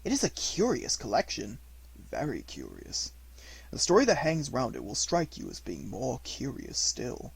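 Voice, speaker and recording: A man voicing a self-assured, mischievous Victorian gentleman detective in an audiobook, with measured, formal phrasing. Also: medium pitch, wildly swooping pitch, creaky, breathy edge, full character voice. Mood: amused, intrigued. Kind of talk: audiobook character reading.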